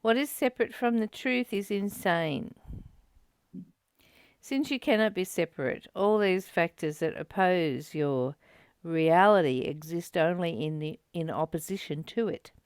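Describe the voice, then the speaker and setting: A woman's voice: lowish voice, measured pace, smooth, no echo. A woman hosting a spiritual study, reading and commenting in an earnest, contemplative, affirming manner.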